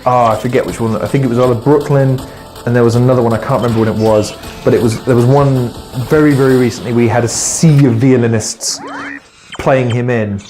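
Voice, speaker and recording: A man's voice: mid-range voice, fairly even intonation, a little fast, mellow, soft background music. A man hosting his own podcast alone, rambling through predictions, swearing often and losing his words. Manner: swearing, rambling, with fillers and trailing restarts. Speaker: podcast host. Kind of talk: solo podcast monologue.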